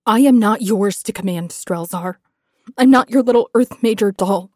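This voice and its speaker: A woman's voice: medium pitch, measured pace, warm tone, husky, dry recording, studio quality. A woman voicing a proud, wounded, furious woman commander in a fantasy audiobook, with a biting, defiant delivery. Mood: furious, commanding. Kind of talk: dramatic fantasy audiobook acting.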